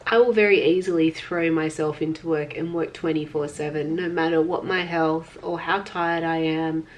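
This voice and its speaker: A woman, a little croaky from illness, narrating her daily routine in a soft, unhurried, reflective way. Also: deep voice, steady tone, poor audio. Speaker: lifestyle vlogger. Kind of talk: casual voice-over narration. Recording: voice-over with loud background music.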